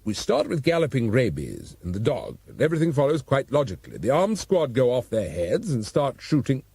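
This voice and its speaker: A cautious, scheming assistant police commissioner, as a male narrator plays him talks in a lower, measured and deliberate way. His voice carries a husky grain, recorded close and dry. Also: wildly swooping pitch, warm tone, no echo, exaggerated voice.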